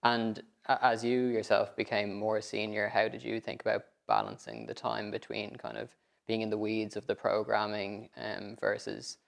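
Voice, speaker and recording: Young guy doing an interview, lowish voice with lots of fry, chatty questions. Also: little pitch movement, normal speed. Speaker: interviewer, PhD student.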